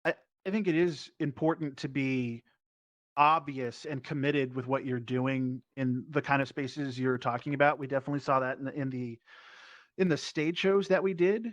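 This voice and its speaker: A man speaking casually as a performer on a panel, informal and somewhat halting. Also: medium pitch, steady tone, no room sound. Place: virtual reality event, voice over personal microphone. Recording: dull, lo-fi dry sound.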